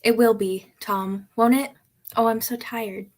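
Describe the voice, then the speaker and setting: A woman's voice: a little high, steady tone, a little slow, a little mellow. A woman playing a fragile, lovelorn young village girl in an old-time radio drama, plaintive and emotional. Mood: relieved, weary.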